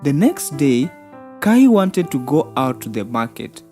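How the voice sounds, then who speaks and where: A woman's voice: a bass voice, very animated, measured pace. A woman narrating a scripted fairy tale for children as a storyteller. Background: soft background music.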